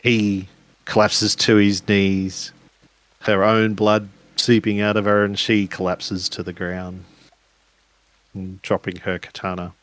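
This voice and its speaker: A man improvising action narration as a player in a tabletop roleplaying game, in halting, pieced-together phrases. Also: fairly low pitch, level tone, fairly bright tone.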